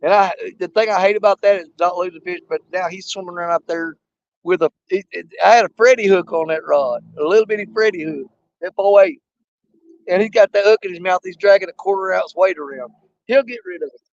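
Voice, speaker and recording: Hoarse, gravelly outdoorsman talking to viewers. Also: high voice, a little fast, dull audio, slight background noise. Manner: rambling, folksy, talks straight to viewers. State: hoarse, losing his voice. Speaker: fishing live-stream host. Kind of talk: casual live-stream chat. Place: outdoors at a small lake.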